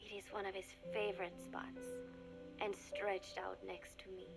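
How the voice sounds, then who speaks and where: An actress voicing an earnest young woman reading her diary aloud in a video game, calm and reflective. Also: mid-range voice, measured pace, creaky, over loud music. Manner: calm, reflective, softly spoken. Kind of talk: game audio diary narration.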